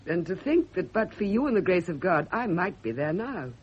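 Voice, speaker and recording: A woman's voice: fairly low pitch, sing-song, moderate pace, slight background noise. A woman acting in a radio drama as a refined, cultured unmarried woman of middle age, genteel and earnest, with intense devotion held in restraint. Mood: fervent, reproachful. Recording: dull, muffled vintage radio recording.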